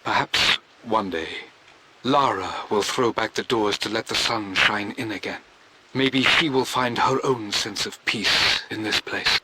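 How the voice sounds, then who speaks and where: A man's voice: fairly low pitch, a little slow, noisy background, natural voice. An actor playing a stubborn, devoted aristocratic explorer with a British accent, narrating his diary formally and theatrically in a video game. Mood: bitter grief.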